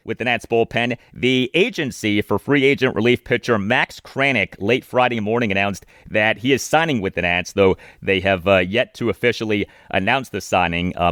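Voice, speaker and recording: A man's voice: on the low side, a bit bright, no room sound. Male sports podcast host, dry studio sound. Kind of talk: sports commentary with detailed stats.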